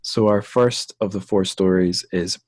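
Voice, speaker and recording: A young adult man hosting a podcast interview, speaking in a friendly, relaxed and self-deprecating way. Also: low voice, unhurried, dry recording. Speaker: podcast host. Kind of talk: conversational interview.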